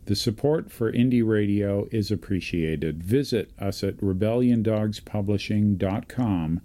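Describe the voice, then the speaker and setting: A male radio host with a lowish, very mellow voice. He speaks casually, in the conversational manner of an indie interview show. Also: unhurried.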